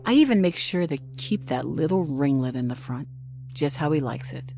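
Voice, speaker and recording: A woman's voice: deep voice, expressive, moderate pace, gravelly, darkish tone, soft background music. A woman playing a nurse in an audio drama, narrating theatrically in the first person, soothing on the surface and vengeful underneath. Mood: quietly menacing. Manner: theatrical, swinging between cooing reassurance and private contempt. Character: vengeful nurse, sweetly soothing outside, cold and bitter within.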